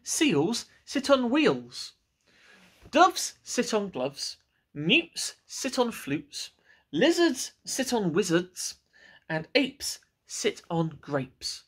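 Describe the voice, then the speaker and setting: A man's voice: high-pitched, very animated, fairly bright tone, slightly rough, pitched above the performer's own voice, full character voice. A man voicing a bossy, stubborn cat from a children's picture book, insistent and emphatic. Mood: exasperated, instructive. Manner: emphatic, drawn-out, insistent delivery. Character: bossy, stubborn male cat laying down rules.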